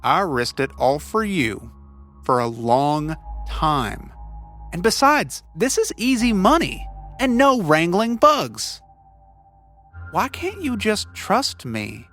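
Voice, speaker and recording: A man acts out a scrappy, stubborn bug hunter in an audio story. The voice sits fairly high, swings in pitch and takes its time, pressing each point on a partner. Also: very animated, slow, a bit bright, faint music behind, exaggerated voice. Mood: hurt, pleading. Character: scrappy, stubborn bug catcher, hurt and pleading hunting partner. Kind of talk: audio fiction character dialogue.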